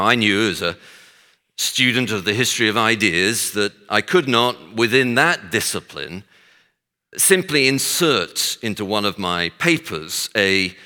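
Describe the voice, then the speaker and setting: Texan guy giving a talk, lowish bright voice, lots of uhs, dry jokes, echo from the big hall. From Texas. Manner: dry self-deprecating humor, frequent hesitations. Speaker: guest speaker. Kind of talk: speech to an audience. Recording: long hall reverb.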